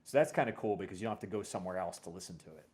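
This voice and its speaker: A man's voice: deep voice, expressive, very fast, darkish tone. A man hosting a casual interview, talking in a hesitant, rambling way and often correcting himself. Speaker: podcast host and audio drama series creator.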